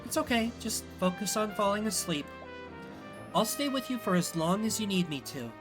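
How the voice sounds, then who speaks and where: A man acts out a young woman from a visual novel. The voice sits raised in pitch and moves around a lot. The delivery is gentle and earnest, quick to get flustered. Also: lively intonation, over music. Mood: tender, comforting. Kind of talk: visual novel character dialogue.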